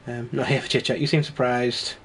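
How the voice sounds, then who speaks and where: A man talks through a video game in a loose, rambling way, wry and quick to laugh. He sounds snuffly from a cold. His voice sits at an ordinary middle pitch. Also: little pitch movement, a little hiss or hum. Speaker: let's play host. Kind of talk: casual gameplay commentary.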